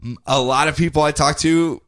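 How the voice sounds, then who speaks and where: A man's voice: a little high, fairly even intonation, fairly quick, fairly bright tone, dry recording, studio quality. A man co-hosting a comic book podcast, recapping issues in a casual, jokey way and slipping into goofy character voices now and then. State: groggy from medicine. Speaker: podcast co-host.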